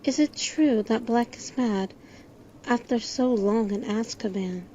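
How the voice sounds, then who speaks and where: A woman's voice: a little high, unhurried, mellow, lightly acted. Woman playing a curious landlady, slightly muffled sound. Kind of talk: audio play acting. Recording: dull, slightly noisy home recording.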